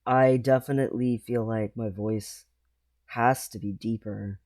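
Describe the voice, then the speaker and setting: Slow, dark-voiced man rambling. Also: on the low side, fairly even intonation, dry recording, studio quality. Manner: rambling, halting, with frequent pauses. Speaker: vlogger. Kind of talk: casual personal vlog.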